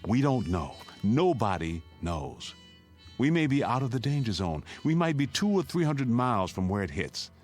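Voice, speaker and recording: A man's voice: medium pitch, sing-song, normal speed, darkish tone, soft background music, close to an everyday voice. An American man acting in a radio drama as an urgent, middle-aged father who takes charge and steadies his family. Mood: steadying, tense.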